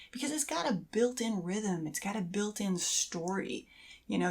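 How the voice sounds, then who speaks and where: A middle-aged woman co-hosting a podcast, speaking casually in an affirming, explanatory way. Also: lowish voice. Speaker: podcast co-host. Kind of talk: casual conversational talk about creative habits.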